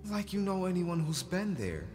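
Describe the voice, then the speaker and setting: A man's voice: sits high, big pitch swings, normal speed, background noise. Sullen, curt man played by an actor, reverberant. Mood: guarded, irritable. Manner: curt, dismissive. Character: Ross, sullen, defensive, withdrawn former academic man. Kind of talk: naturalistic stage-play dialogue. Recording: long hall reverb with music behind.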